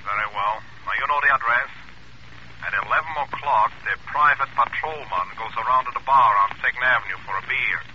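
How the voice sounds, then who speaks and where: An actor playing a cold, deliberate, commanding crime boss in a 1940s radio suspense drama. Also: male voice, medium pitch, natural voice. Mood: cold, businesslike. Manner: deliberate, matter-of-fact, commanding. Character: cold, businesslike male boss plotting a murder. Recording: noisy, tinny old radio phone scene.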